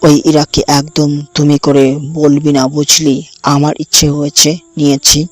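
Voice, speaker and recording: A man's voice: on the high side, monotone, unhurried, dry recording, soft background music, lightly acted. A man playing a possessive, threatening young campus bully in an audio drama, speaking with commanding contempt. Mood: menacing. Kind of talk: audio story acting.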